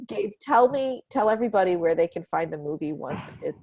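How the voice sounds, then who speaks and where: A woman's voice: lowish voice, lively intonation, brisk, a little mellow, poor audio. A woman hosting a casual, heartfelt video-call conversation from home, informal and earnest, repeating phrases for emphasis. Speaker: host.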